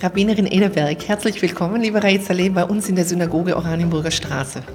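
A woman's voice: fairly low pitch, fairly even intonation, fast, darkish tone, soft background music. A woman speaking as an interview guest, explaining her views in German. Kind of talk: spoken interview answers, explanatory.

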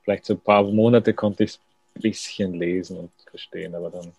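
A man speaks as a writer being interviewed, slow to settle on his words and quick to make light of himself. His voice sits low, with a gravelly fry and a dark, mellow tone. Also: fairly even intonation. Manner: musing, thoughtful, self-deprecating. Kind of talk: radio interview.

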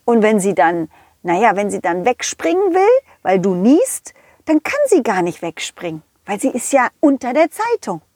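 Woman playing an upbeat, loyal helper dog, lively intonation. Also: medium pitch, moderate pace, no echo, voice pushed down. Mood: eager, explaining. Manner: encouraging, reassuring problem-solver. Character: confident, loyal, helpful dog friend for children. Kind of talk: children's audio play.

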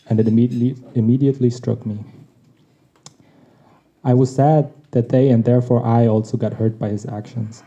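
A man whose mother tongue is Tagalog, reading a personal essay on his podcast in a reflective, measured way. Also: mid-range voice, mellow. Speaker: podcast host. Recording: dull lo-fi recording.

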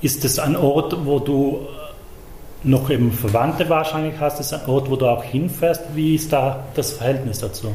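A man interviewing a guest, asking careful, gentle questions. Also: mid-range voice, moderate pace. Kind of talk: conversational interview. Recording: echoey room, faint ambient noise.

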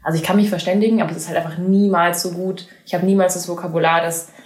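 A young woman, a singer-songwriter, chatting in an interview, lively and full of fillers and restarts. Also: fairly low pitch, quick pace, reverberant. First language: German. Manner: animated, many fillers and restarts, laughs easily. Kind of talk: casual interview chat.

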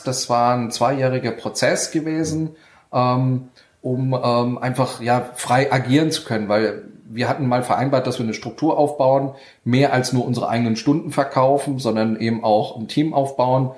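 A man's voice: mid-range voice, darkish tone. A man telling his story as a podcast guest, fluent, with many fillers. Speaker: guest, real estate investor. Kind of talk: podcast interview. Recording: roomy echo.

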